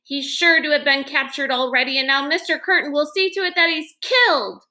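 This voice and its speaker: A distraught little girl from a children's storybook, high in pitch, acted out by a woman reading aloud. She is blunt and scolding, blurting her accusations. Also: fairly quick, pushed and bright. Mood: distraught, accusing. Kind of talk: children's novel read-aloud.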